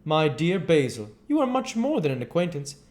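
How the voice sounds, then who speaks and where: Man playing a flippant English gentleman, higher-pitched. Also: very animated, normal speed. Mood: breezy, witty. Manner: exclamatory, teasing, pompous. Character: flippant, witty, vain English gentleman aristocrat.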